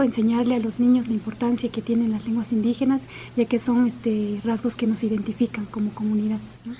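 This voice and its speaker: A woman whose first language is Chinantec, speaking as a guest in a radio conversation about language work, steady with frequent fillers. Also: fairly high pitch, slight background noise, low-quality recording.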